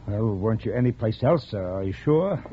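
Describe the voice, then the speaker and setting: Actor as troubled detective on thin, tinny old radio. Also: male voice, fairly low pitch, animated, a little hiss or hum, close to an everyday voice. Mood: probing, reluctant. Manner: hesitant, halting questioning. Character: grave, troubled police detective confronting his friend. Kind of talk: 1940s radio drama acting. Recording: muffled, thin vintage radio recording.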